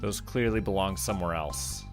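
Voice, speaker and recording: Casting: male game streamer, lowish voice, playful self-talk, casual commentary over clear background music. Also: moderate pace. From Canada. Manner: thinks aloud, chatty self-talk, playful.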